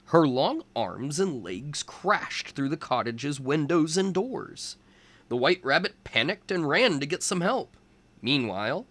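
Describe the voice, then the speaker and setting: A man reading a storybook aloud as a teacher for young children, in deliberate phrases. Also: fairly high pitch, fairly bright tone, dry recording.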